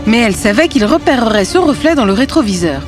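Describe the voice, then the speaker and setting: A woman's voice: a little high, sing-song, quick pace, over music. A woman narrating a scripted fairy tale for children.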